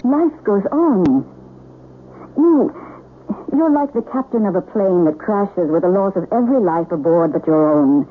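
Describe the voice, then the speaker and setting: A woman's voice: medium pitch, expressive, a little slow, close to an everyday voice. A woman playing an earnest, insistent widow in a radio drama, urgently persuasive as she comforts a troubled man. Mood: grave sympathy. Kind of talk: 1970s radio drama acting. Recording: tinny old radio recording, faint noise.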